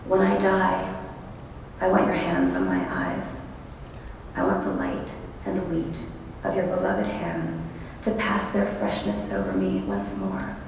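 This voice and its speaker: Woman, lower-pitched, solemn memorial reading, long hall reverb. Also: big pitch swings, a little slow. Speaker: college friend speaking at a memorial. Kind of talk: prepared tribute and poetry reading. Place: large echoing hall at a memorial service. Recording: very echoey hall, distant, noisy ambience.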